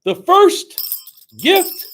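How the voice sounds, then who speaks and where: Man acting out jolly old Christmas gift-giver, storybook style, loud, raised pitch, proclaiming, generous. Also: very animated, drawn-out words, faint music behind, full character voice.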